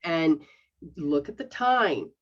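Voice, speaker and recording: A middle-aged woman with a lowish voice walks a listener through healing techniques. She rambles, restarts, and keeps checking that she is followed. Her voice comes through a dull, muffled, lo-fi connection. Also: very animated, takes it slowly. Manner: rambling, explains step by step, checks understanding with "okay?". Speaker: metaphysical practitioner host. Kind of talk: casual instructional chat.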